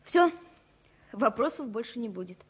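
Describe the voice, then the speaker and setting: An actress playing a sad, guarded young flight attendant with restrained, earnest delivery in a 1970s Soviet radio play. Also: female voice, fairly high pitch, lively intonation, normal speed, husky. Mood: hurt, restrained. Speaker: radio drama actor. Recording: faintly noisy vintage radio recording.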